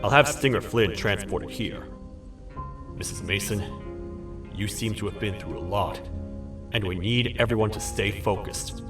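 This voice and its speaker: A man's voice: fairly low pitch, unhurried, pauses often, lightly acted. A man voicing a cocky, world-weary cartoon mascot lawman in a video game, talking in old-time drawling slang. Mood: resolute. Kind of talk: video game character dialogue. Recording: echoey, distant, loud music behind.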